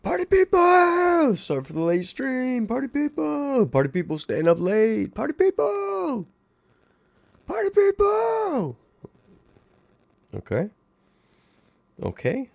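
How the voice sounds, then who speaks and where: Raspy-voiced man hosting a livestream. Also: sits high, no room sound. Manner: animated, playful, talks to chat viewers. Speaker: livestream host. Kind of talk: casual livestream chat. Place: home.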